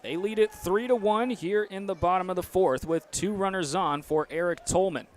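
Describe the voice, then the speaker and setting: A man's voice: high-pitched, normal speed. A young American man doing live sports play-by-play commentary. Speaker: play-by-play announcer. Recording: outdoor ambient noise behind commentary. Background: ballpark or arena ambience.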